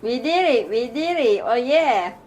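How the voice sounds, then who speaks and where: A woman's voice: a little high, animated, a little slow, a little mellow, a little hiss or hum. A woman voicing an agreeable male student puppet in a scripted amateur show. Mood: keen.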